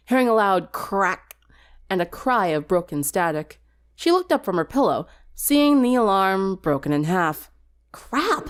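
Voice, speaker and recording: A woman reading a scripted story aloud as a dramatic narrator. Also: mid-range voice. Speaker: fan fiction narrator.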